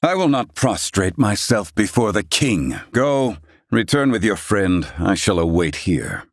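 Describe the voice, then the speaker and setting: Stern, haughty scholar, low and expressive. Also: male voice, unhurried, no echo, studio quality, full character voice. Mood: gruff, disdainful. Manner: pompous, clipped, archaic formal diction. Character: stern, haughty learned man, curt and grumbling. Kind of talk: video game voice acting, archaic formal speech.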